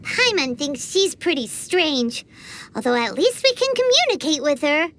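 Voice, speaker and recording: A woman's voice: sing-song, measured pace, a little hiss or hum, exaggerated voice. A woman voicing a tiny, chatty female companion sprite in a game, who flusters easily and speaks of herself in the third person. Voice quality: very high, squeaky. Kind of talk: game voice acting. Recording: game audio with loud music behind.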